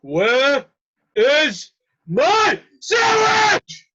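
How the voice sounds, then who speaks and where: A man's voice: very high-pitched, huge pitch swings, extremely slow, highs cut off, exaggerated voice. A man voicing a desperate office worker who is fixated on getting his stolen sandwich back, with intense outbursts. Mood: furious. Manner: intense, swings to shouting fury. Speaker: voice actor. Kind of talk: amateur dramatic reading.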